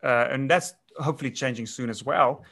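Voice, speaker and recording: A man's voice: mid-range voice, fairly quick, creaky, fairly bright tone, a little hiss or hum. A man in his late thirties from South Africa, whose first language is Afrikaans, chatting as a winemaker guest, rambling with many restarts and fillers. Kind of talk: casual interview chat.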